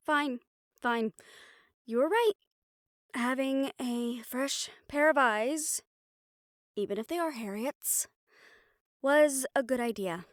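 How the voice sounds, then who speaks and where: Woman playing a slow-talking, teasing, affectionate siren. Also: high-pitched, no echo, clean studio sound. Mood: mildly annoyed, wry. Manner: playful, affectionate, sighing and teasing. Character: teasing, caring female siren-banshee, fond of her partner. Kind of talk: intimate audio roleplay acting.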